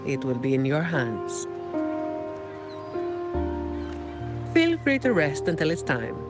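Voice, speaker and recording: A woman playing a composed, helpful informant in a video game, briefing an ally in a measured, explanatory way. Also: low-pitched, huge pitch swings. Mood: friendly, businesslike. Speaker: game character giving briefing and lore. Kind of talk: scripted video game dialogue. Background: instrumental music.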